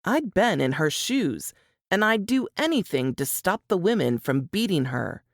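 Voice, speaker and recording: A woman reading suspense fiction as an audiobook narrator, measured and expressive in a dramatic scripted style. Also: on the low side, dry recording, studio quality.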